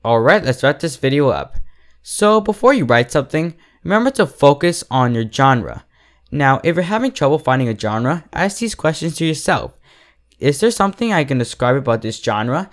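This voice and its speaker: Animated man reviewing stories for viewers. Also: on the high side, moderate pace. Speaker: host and reviewer of fan fiction readings. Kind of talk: scripted review addressed to online viewers.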